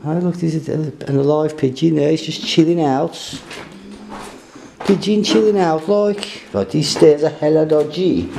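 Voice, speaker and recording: A man's voice: on the high side, steady tone, unhurried, vocal fry, warm tone, reverberant. A man, joking and rambling as he films himself exploring a derelict house on a handheld camera. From England. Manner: jokey, rambling, swears casually. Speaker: urban explorer vlogger. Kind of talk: casual handheld exploring commentary. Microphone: handheld camera mic. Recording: noisy camera audio.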